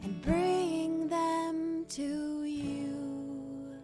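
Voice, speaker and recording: A woman playing a sweet young space cowgirl, half singing a children's theme song with a lilting, drawn-out delivery. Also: high-pitched, steady tone. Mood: dreamy, playful. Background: loud plucked guitar music.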